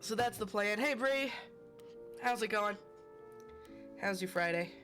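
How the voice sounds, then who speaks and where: A man's voice: high-pitched, unhurried, bright, forceful tone. A man with a cold hosts a live stream, his voice stuffy and congested. He chats casually and greets viewers by name. Faint game music plays behind him. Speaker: livestream host.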